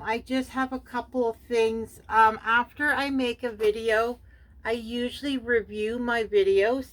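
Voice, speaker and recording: Unhurried middle-aged woman, German first language, raised in Canada; hesitant crafting vlogger. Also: a little high, a bit bright, rough recording. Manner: hesitant, many fillers and self-corrections. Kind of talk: casual show-and-tell.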